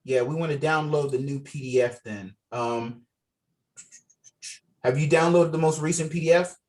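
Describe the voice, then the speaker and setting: A man's voice: on the high side, normal speed. A man leading a script read over a video call, talking casually and encouragingly and reading out scene directions. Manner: encouraging, informal. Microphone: video call microphone.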